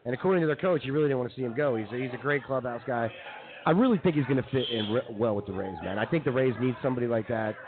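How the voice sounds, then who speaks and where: A man's voice: mid-range voice, racing, crowd noise. An American man co-hosting sports radio, giving opinionated, colloquial baseball commentary. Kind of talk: casual sports chat. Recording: tinny band-limited live broadcast.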